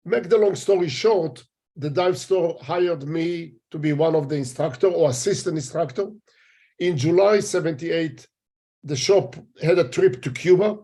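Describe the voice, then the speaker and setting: Older israeli man as interview guest, warm slightly high voice, halting speech. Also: husky. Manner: halting, searching for words in English. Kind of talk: interview conversation.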